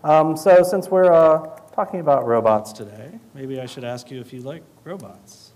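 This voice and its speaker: A man's voice: on the high side, moderate pace, darkish tone. A man presenting a live chatbot demonstration to an audience, talking conversationally and hesitantly as he thinks aloud.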